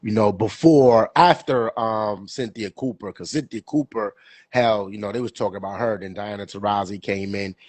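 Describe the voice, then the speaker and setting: A young man co-hosting a sports podcast, his voice stuffy and husky from a cold. He rambles along in loose, casual talk, repeating words and leaning on fillers. The sound is dry and close, with no room around it. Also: lowish voice, normal speed, darkish tone, no room sound. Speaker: podcast co-host.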